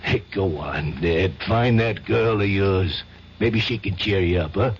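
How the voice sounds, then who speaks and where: An actor playing a tough, loyal mobster friend in a 1970s radio drama, streetwise and brash. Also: male voice, sits low, unhurried. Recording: dull old radio recording, faint hiss.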